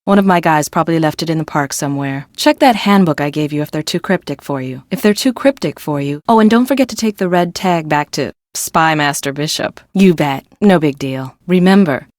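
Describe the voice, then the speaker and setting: A woman's voice: low voice, a little fast, few pauses, darkish tone, no echo, clean studio sound, natural voice. A woman playing a self-assured, quirky new-age engineer and maintenance supervisor in an adventure game, with a matter-of-fact, wry and articulate delivery. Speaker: video game voice actor. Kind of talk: scripted adventure game dialogue.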